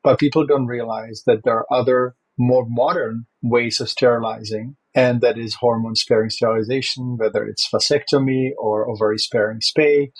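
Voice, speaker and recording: Husky-voiced man. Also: medium pitch, low-quality recording. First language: Czech. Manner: thoughtful, with frequent fillers and restarts. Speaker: host. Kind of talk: conversational interview.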